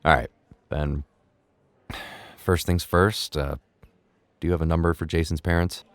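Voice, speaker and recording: A man's voice: a bass voice, fairly even intonation, measured pace, no echo. A man playing a bewildered, protective middle-aged father in an audio drama, stammering when flustered. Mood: soothing, relieved. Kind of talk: naturalistic audio drama acting.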